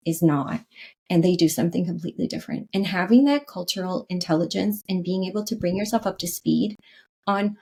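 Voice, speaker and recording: Canadian woman on a podcast, bit of vocal fry, lower voice, keeps saying right?. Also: mellow. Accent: Canadian English. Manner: earnest, frequent "right?" tags and restarts. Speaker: podcast guest, leadership consultant. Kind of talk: interview conversation.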